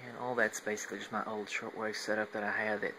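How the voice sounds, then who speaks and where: A man giving a casual, unscripted hobbyist walkthrough on a phone, halting as he thinks aloud. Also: on the low side, level tone, noisy background. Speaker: hobbyist demonstrating a home radio antenna setup. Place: at home, moving between indoors and outside. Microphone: handheld phone while filming.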